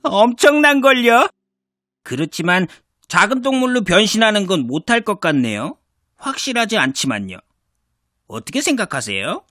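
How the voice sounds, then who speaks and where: A high, bright-toned cartoon cat, smooth-talking and cunning, dry close sound. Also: male voice, moderate pace, dry recording, faint music behind, exaggerated voice. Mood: sly. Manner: polite and flattering. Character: clever scheming male cat in boots. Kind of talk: cartoon voice acting.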